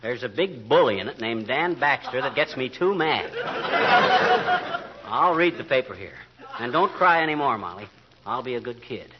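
Casting: a man as a hot-headed sputtering husband, lively swooping voice, old muffled hissy radio sound. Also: mid-range voice, moderate pace, natural voice. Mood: sheepish. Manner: sputters mock curses, swings from rage to sheepish. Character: blustering hot-tempered middle-aged husband. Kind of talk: 1940s radio comedy acting.

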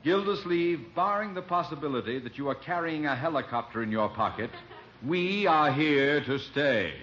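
An actor playing a pompous, sarcastic, excitable gentleman in old-time radio comedy style. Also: fairly high pitch, moderate pace. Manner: pompous, sardonic, melodramatic. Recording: dull old radio recording, some noise.

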